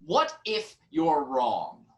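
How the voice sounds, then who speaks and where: A man's voice: a little high, wildly swooping pitch, drawn-out words, a bit bright, full character voice. A man playing an aggrieved but reasonable nobleman in a staged reading of Greek tragedy, with formal, indignant delivery.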